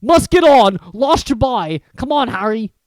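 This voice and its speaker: A reader voicing a huge, kindly groundskeeper with homely, rough-edged speech. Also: medium pitch, animated, moderate pace, a little mellow, no room sound, studio quality, pitched above the performer's own voice, lightly acted. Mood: cheerful. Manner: homely rustic speech, dropped grammar. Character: huge warm-hearted male groundskeeper, rough-spoken.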